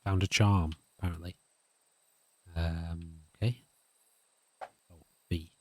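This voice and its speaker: A man's voice: sits low, flat delivery, slow pace, a little mellow, no room sound. A man hosting a gaming channel, giving casual, chatty let's-play commentary. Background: guitar music.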